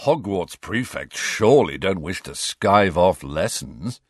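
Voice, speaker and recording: A cheeky teenage prankster in a storybook , acted by a man narrating. He is breezy and teasing, his raised pitch swinging in a lively way. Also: unhurried, husky, no room sound, clean studio sound, exaggerated voice.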